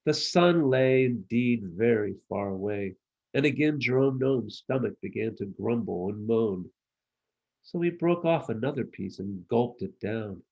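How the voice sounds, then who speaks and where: A man narrating a children's storybook as its author, with measured, expressive delivery. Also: mid-range voice, muffled recording. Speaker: author reading own children's book.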